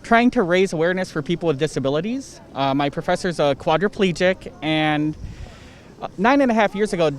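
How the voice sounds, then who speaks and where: A man answering street interview questions in a measured, thoughtful way. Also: on the high side, lively intonation. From United States. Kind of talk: casual answers. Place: city street outdoors.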